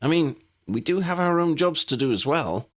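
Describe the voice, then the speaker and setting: A man's voice: on the high side, lively intonation, normal speed, voice pushed up, close to an everyday voice. A man voicing a spirited, teasing, bossy young woman in an audiobook.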